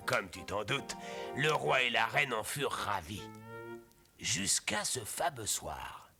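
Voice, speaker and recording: A man's voice: fairly low pitch, slow, vocal fry, music in the background, exaggerated voice. A man voicing a kindly, whimsical godfather telling a fairy tale in a cartoon, expressively. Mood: storytelling. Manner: expressive, savouring storyteller. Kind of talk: storytelling in an animated film.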